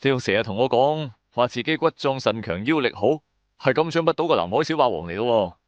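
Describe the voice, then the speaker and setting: A man's voice: mid-range voice, animated, a little fast, dry recording, highs cut off, natural voice. A man playing a shrewd, wry trader who narrates his own adventures in colloquial, sardonic radio-drama style. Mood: annoyed, teasing. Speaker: narrator and lead character.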